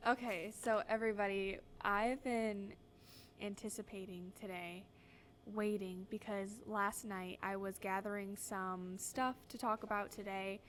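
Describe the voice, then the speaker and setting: A woman's voice: mid-range voice, fairly even intonation, unhurried, fairly bright tone, slight background noise. Young woman co-host, upbeat and dramatic. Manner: upbeat, dramatic show-opening delivery. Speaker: podcast co-host. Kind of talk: casual chat with scripted intro.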